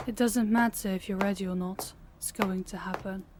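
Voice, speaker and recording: A woman's voice: mid-range voice, steady tone, normal speed, noisy background, natural voice. Female actor as rival princess for fantasy roleplay: young, mid-range voice, reserved, caring underneath. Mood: guarded, gentle. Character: guarded young rival princess, reluctant but caring bride-to-be. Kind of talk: intimate fantasy audio roleplay.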